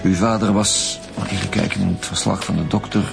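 An actor with a Flemish accent playing a sceptical, methodical police commissioner in a radio drama. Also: male voice, low-pitched, steady tone, a little fast, creaky, over music. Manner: probing, matter-of-fact.

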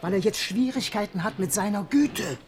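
A woman's voice: mid-range voice, lively intonation, normal speed, darkish tone, natural voice. A woman playing a stubborn, doubting citizen of old Paris in a German radio drama, arguing insistently. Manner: insistent, argumentative.

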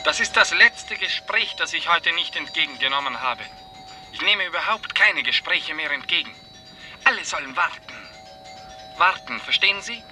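An actor playing a pompous, irritable company director giving peremptory orders in a 1950s German radio play. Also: male voice, a little high, animated, normal speed, bright, forceful tone, faint music behind. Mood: imperious. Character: imperious, irritable male company director bossing secretaries.

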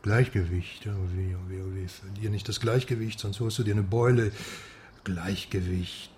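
Actor as chatty, mocking journalist, noisy background. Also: male voice, deep voice, steady tone, normal speed, a little mellow, natural voice. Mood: playful musing. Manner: talkative, teasing, theatrical. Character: chatty, mocking Western male journalist in East Berlin. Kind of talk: naturalistic radio crime drama acting.

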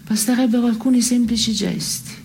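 Actress as darkly musing woman, creaky mid-pitched voice, deadpan theatre delivery, half-whispered, faint background noise. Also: fairly quick, close to an everyday voice. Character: dry, needling woman musing darkly on morals. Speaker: stage actress. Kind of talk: scripted absurdist theatre dialogue.

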